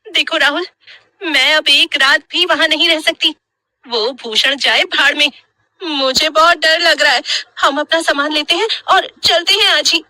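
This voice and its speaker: A woman voicing an emotional, pleading young wife in an animated horror story. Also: high-pitched, measured pace, no echo, pitched above the performer's own voice, exaggerated voice, poor audio. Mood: upset, fearful. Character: frightened, scolding young wife in a haunted house.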